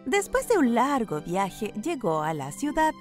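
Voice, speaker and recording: A woman narrating a scripted children's story with an expressive storyteller's delivery. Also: on the high side, a little slow, soft background music.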